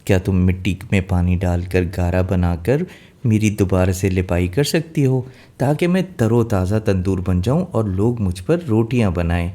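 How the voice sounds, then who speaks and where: A man's voice: deep voice, normal speed, warm tone, close to an everyday voice. A man voicing an old cracked clay oven in a storybook tale, politely and earnestly asking and talking. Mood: pleading.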